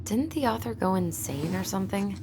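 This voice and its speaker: A woman's voice: mid-range voice, expressive, a little fast, background noise, natural voice. An actress playing a protective, pragmatic young American woman in a dramatic video game, wry and earnest in manner. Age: young adult. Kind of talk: naturalistic dramatic game acting.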